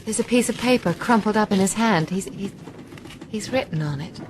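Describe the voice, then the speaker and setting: An actress playing a genteel, emotional castaway, weary yet brave, with heartfelt delivery in a radio drama. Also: female voice, mid-range voice, moderate pace, darkish tone, slight background noise, close to an everyday voice. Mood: hushed, grieving. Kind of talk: broadcast radio drama acting.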